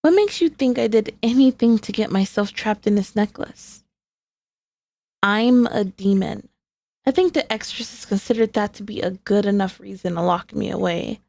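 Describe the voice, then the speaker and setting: A woman's voice: medium pitch, moderate pace, vocal fry, darkish tone, no room sound. A woman playing a sassy, sneaky, possessive centuries-old female demon in an ASMR roleplay, speaking in a haughty, teasing, formally old-fashioned way. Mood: wry, resentful. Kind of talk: ASMR roleplay, scripted character acting.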